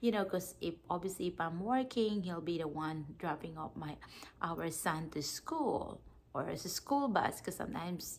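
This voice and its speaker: A woman's voice: fairly low pitch, slight background noise. A woman in her thirties from the Philippines, chatting casually to camera in a rambling way, with many fillers and restarts. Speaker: vlogger.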